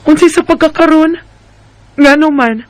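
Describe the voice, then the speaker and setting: A man's voice: very high voice, moderate pace. A man acting a long-suffering, emotional wife and mother in a radio drama, with a Filipino Bisaya accent. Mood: surprised. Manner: emotional, scolding and pleading.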